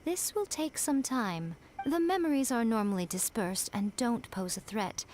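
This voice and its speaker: A woman voicing a sly, composed fox shrine priestess in a video game, with a smooth, coy, unhurried delivery. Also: on the high side, expressive, a little mellow, a little hiss or hum. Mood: composed, explaining.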